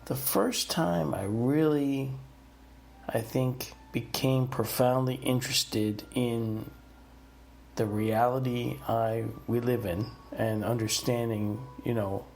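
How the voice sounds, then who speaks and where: Gravelly-voiced male podcast host. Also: mid-range voice, fairly even intonation, slow, darkish tone. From northeastern United States. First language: Italian. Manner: rambling, many fillers and restarts. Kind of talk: personal storytelling monologue.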